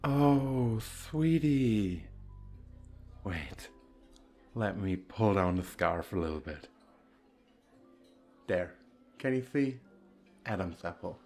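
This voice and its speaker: Playful femboy flirt played by a man for ASMR roleplay: expressive swooping pitch, slow pace, coy, effeminate, teasing. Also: faint music behind, exaggerated voice. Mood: friendly, reassuring. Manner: lilting, effeminate, giggly, coy.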